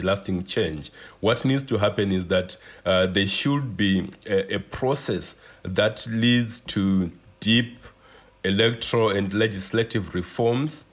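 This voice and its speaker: A man speaking as an interview guest, giving political analysis in a measured, halting way with deliberate pauses. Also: lowish voice. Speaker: interview guest, human rights advocate.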